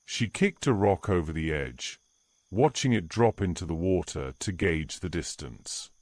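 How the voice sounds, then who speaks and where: A man reading scripted story narration in a measured storytelling manner. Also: low voice, animated, a little mellow.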